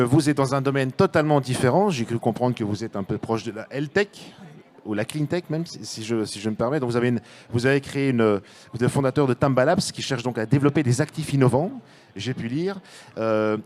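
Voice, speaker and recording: A man's voice: mid-range voice, fairly quick, a little mellow. A man moderating a conference panel, polite and stumbling over his words.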